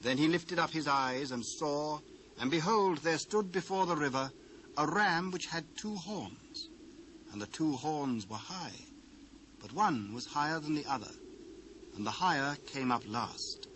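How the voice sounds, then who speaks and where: A man's voice: a little high, animated, a little slow, fairly bright tone, a little hiss or hum, poor audio. Solemn British male scripture narrator. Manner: solemn, formal storytelling.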